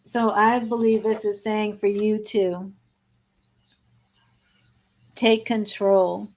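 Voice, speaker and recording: A hoarse woman losing her voice, giving a live tarot reading and reading card meanings aloud between casual asides. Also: mid-range voice, flat delivery, takes it slowly, a little mellow. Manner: reads card meanings aloud, then chats and restarts. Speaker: tarot reader.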